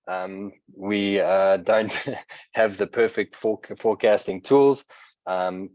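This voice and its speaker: A man with a South African accent giving a webinar presentation and software demo, explaining steadily with frequent hesitant fillers. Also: lowish voice, no room sound. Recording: dull lo-fi webinar audio.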